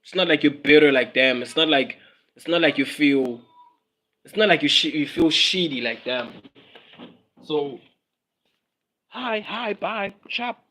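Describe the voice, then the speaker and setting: A South African man hosts a casual livestream, speaking in a somewhat high voice. He muses aloud and circles back over his own phrases, slipping Zulu words into his English. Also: animated, a little slow, long pauses, sharp tone. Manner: musing, repeats phrases, mixes in Zulu words. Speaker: livestream host.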